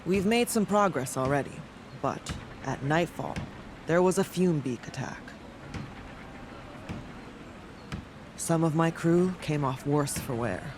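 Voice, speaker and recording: A man playing a brisk, candid foreign-born carpenter who leads a travelling crew in a video game cutscene. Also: a little high, lively intonation, noisy background, close to an everyday voice. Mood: matter-of-fact. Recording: game music behind the voice.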